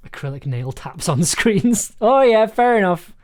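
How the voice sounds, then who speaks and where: A writer livestreaming their work, thinking aloud casually in halting mutters with bursts of jokey, animated asides. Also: medium pitch. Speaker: audio drama writer, livestream host. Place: indoors at a desk, livestreaming. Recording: clear keyboard typing and clicking noise. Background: computer keyboard typing, clicks.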